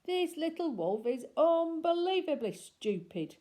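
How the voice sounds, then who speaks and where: A woman voicing a rude, brash bully girl in a storybook reading, loud, coarse and taunting. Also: high voice, very animated, unhurried, pitched above the performer's own voice. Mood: mocking.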